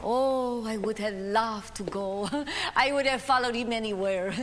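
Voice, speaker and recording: A woman's voice: on the high side, unhurried, fairly bright tone, slight background noise. A woman performing a one-woman stage monologue as a famous woman pianist, reminiscing in a chatty, self-mocking way between piano pieces, in a concert hall. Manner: reminiscing, chatty, light laughs. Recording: reverberant hall with faint ambience.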